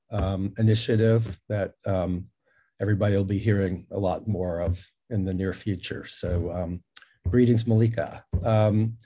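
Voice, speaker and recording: A man hosting an informal interview conversation, speaking a little haltingly as he thinks aloud. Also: mid-range voice, warm tone. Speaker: host and interviewer. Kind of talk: informal moderated video conversation.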